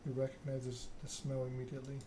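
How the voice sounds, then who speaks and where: A man narrating game text aloud in an ASMR style, in deliberate short phrases with the odd misreading. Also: mid-range voice, monotone, warm tone, a little hiss or hum. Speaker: video game streamer reading a story game aloud.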